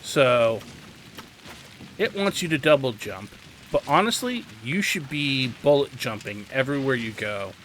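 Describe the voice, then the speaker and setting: A man hosts a video game guide in a bright, forceful voice. His pitch moves freely as he explains things with emphatic opinions and instruction. Faint game ambience of rain sits behind him. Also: expressive, a little slow, slight background noise. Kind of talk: casual game tutorial commentary.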